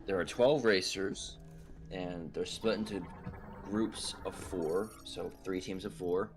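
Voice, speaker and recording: A man with a low, slightly scratchy voice talks casually over a call, swearing and rambling with many fillers. He speaks from a desk mic that sits on a broken stand. Music plays clearly behind his voice. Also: steady tone, takes it slowly. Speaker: guest on a gaming stream call. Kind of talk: casual chat.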